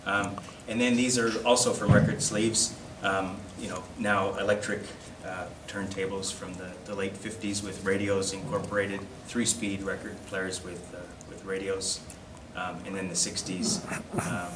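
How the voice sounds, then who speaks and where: A man giving an informal slide-show lecture as a presenter, in a hesitant, halting and self-deprecating way. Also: sits low, little pitch movement. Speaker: presenter at a collectors' society. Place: classroom, indoors. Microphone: camera across the room. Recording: distant mic, echoey room, loud background noise.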